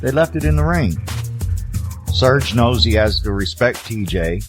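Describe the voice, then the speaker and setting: A man acting in an audio drama as a confident, animated fight trainer commenting on a brawl. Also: medium pitch, a little slow, natural voice. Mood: proud, assured. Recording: loud music and crowd behind.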